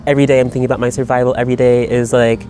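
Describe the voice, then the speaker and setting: A man of ordinary pitch talks in a loose, reflective monologue, circling his thoughts with frequent fillers and restarts. Faint music sits underneath. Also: level tone, rapid-fire, mellow. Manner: rambling, reflective, many fillers. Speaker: writer. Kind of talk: personal video monologue. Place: Berlin.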